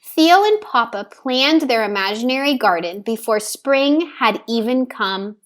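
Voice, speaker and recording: A woman narrates a picture book for young children. Her voice sits a little high, and she reads very slowly, with careful, deliberate phrasing. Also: lively intonation. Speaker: storybook reader. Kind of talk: children's story read-aloud, scripted narration.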